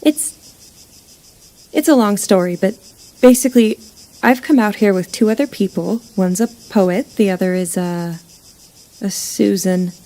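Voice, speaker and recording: A woman's voice: medium pitch, a little slow, pauses often, mellow, close to an everyday voice. A woman in an audio drama playing a friendly runaway stranger from the city, talking in an affable, rambling way. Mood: eager, ingratiating.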